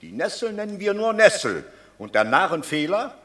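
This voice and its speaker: An actor playing a witty, blunt old Roman patrician in a classical radio drama, mocking and theatrically effusive. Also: male voice, sits high, very animated, normal speed, a bit bright. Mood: boisterous joy.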